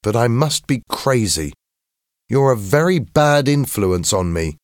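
A man's voice: medium pitch, big pitch swings, measured pace, dry recording, clean studio sound, voice pushed up. A man voicing an anxious, cautious schoolboy in a storybook reading, protesting urgently. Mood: reluctant. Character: James, anxious cautious schoolboy, sensible and reluctant.

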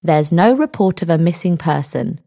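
A woman voicing a male police sergeant in a story, friendly but condescending and dismissive, with measured delivery. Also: fairly low pitch, expressive, vocal fry, warm tone. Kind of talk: audiobook character dialogue.

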